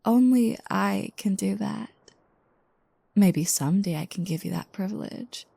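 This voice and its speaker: A mellow, slightly gravelly young girlfriend, acted by a woman in an intimate audio roleplay, speaking slowly and softly right up close. She is playful and teasing, with a drowsy just-woke-up manner and a dry, roomless sound. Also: medium pitch, no echo, full character voice. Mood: mock bossy, teasing. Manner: soft, breathy asides, teasing, unhurried.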